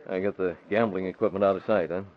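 An actor playing a determined, brisk, insistent newspaper boss in a 1940s radio drama. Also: male voice, sits low, steady tone, natural voice. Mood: challenging, determined. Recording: dull, faintly noisy old radio recording.

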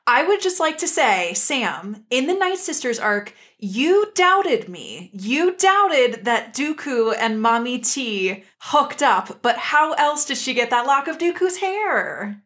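A woman's voice: high-pitched, big pitch swings, slow pace, few pauses, fairly bright tone. A woman co-hosting a podcast, chatting casually with an emphatic, playful and opinionated delivery. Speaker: podcast co-host. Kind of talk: casual opinionated chat about an animated series.

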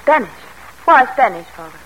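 A woman's voice: fairly high pitch, very animated, moderate pace, a bit bright, dull audio. An actress in a classic radio drama playing a devoted, anxious young mother and daughter, earnest and genteel in manner. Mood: puzzled. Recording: noisy old radio drama recording.

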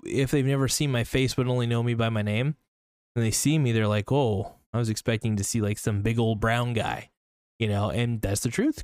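A man's voice: medium pitch, a little mellow, no echo. Young guy rambling on his podcast, lots of ums and restarts, dead dry room. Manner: rambling, many restarts and fillers. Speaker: podcast host. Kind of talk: casual solo podcast talk.

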